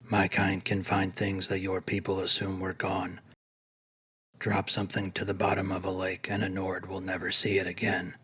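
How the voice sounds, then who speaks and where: Guy doing a sly old dying lizard man, husky and monotone, proud, fantasy story reading. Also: sits low, moderate pace, heavily acted. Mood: grim, knowing.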